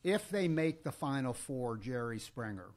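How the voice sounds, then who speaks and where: A man's voice: medium pitch, big pitch swings, measured pace. A man co-hosting a podcast, chatting casually about politics and sports in a conversational, joking way. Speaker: podcast co-host.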